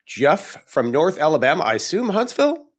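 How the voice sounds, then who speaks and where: Raspy-voiced upbeat male podcast host. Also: fairly high pitch, wildly swooping pitch, moderate pace, lo-fi sound. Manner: chatty, upbeat, greeting viewers. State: hoarse, tired voice. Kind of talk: live podcast chat.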